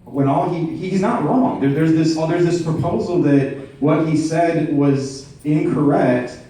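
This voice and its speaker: Man, formal debate, methodical and insistent, soft-edged tone, picked up from a distance. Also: a little high, a little slow. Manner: precise, insistent, methodical questioning. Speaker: delegate questioning the chair at a meeting. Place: large indoor meeting room. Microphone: floor microphone. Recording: roomy echoing hall, distant mic.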